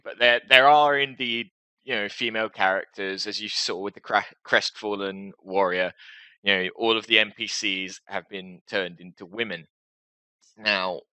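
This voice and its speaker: A man's voice: fairly low pitch, bright, forceful tone, smooth, dry recording, rough recording. A man talking casually and hesitantly to his viewers as a gaming video host, with a self-deprecating, rambling manner.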